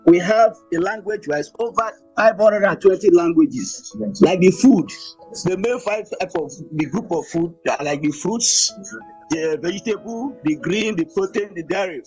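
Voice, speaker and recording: A man with a Nigerian accent gives a formal conference presentation as an invited speaker, in a deliberate, phrase-by-phrase delivery. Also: sits high, darkish tone. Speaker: invited speaker, professor and motivational speaker. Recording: dull, muffled audio with music.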